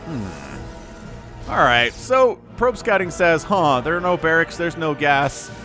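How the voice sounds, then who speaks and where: A male game shoutcaster talking through a replay in a loose, conversational way, cracking jokes and humming to himself. His voice swings widely up and down as he reacts. Faint background music plays under him. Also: on the high side, slow. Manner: animated, chatty, jokey, with laughs and hummed fillers. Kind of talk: casual play-by-play commentary.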